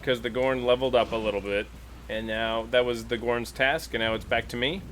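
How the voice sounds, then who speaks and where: A man playing a tabletop roleplaying game, talking casually and haltingly as he thinks aloud. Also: medium pitch, fairly even intonation, a loud crowd.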